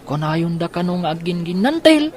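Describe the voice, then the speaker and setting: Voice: medium pitch, sing-song, normal speed, darkish tone, close to an everyday voice. A woman playing a curious, scheming young son in a family audio drama, with a childlike, inquisitive manner. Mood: curious, cheerful.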